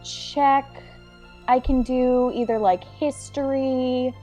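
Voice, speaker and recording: A woman playing a tabletop role-playing game, talking casually and improvising in a halting, rambling way. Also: sits high, fairly even intonation, dark tone, soft background music.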